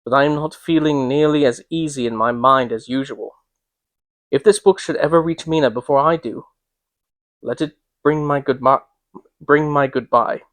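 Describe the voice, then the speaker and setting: Higher-voiced man reading a classic novel. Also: moderate pace, a bit bright, no room sound. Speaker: reader and host. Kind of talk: dramatic literary reading with casual commentary.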